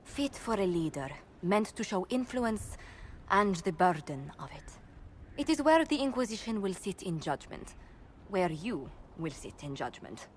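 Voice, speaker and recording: An actress playing a poised court adviser in a video game, speaking in a formal, measured and diplomatic way. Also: female voice, mid-range voice, sing-song, a little hiss or hum. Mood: formal, composed. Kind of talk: video game dialogue acting.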